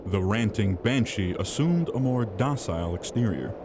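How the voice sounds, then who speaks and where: A man's voice: fairly low pitch, animated, normal speed, noisy background. A man reading scripted narration for an audio drama. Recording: music under the voice.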